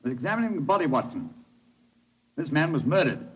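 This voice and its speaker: An actor playing a cool, witty British gentleman detective, clipped and authoritative, in an old radio drama. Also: fairly high pitch, big pitch swings, fairly quick, husky, full character voice, rough recording. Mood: keenly intrigued. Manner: clipped, articulate, wry, authoritative. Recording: vintage radio recording, faint noise.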